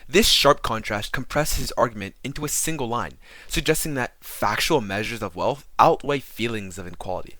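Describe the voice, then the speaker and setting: A man's voice: medium pitch, lively intonation, brisk, few pauses, pushed and bright, dry recording. A man co-hosting a podcast in a scripted, explanatory style, speaking articulately as he analyses. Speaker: podcast co-host.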